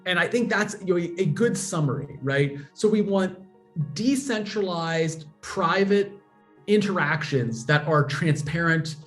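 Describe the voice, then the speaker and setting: A man's voice: high voice, a little slow, fairly bright tone, soft background music. A man, a guest on a remote interview, patiently explaining technology with everyday examples. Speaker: guest, blockchain educator. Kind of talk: casual explanatory interview talk.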